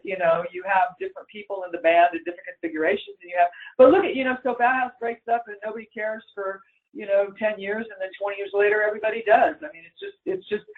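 A man, a musician, talking casually in an interview, rambling and blunt and swearing freely. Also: high-pitched. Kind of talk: casual interview over the phone. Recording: tinny phone line.

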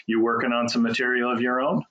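A man's voice: medium pitch, completely flat delivery, fast, pushed and bright, lo-fi sound. A man interviewing a musician, giving brief, supportive replies and short questions.

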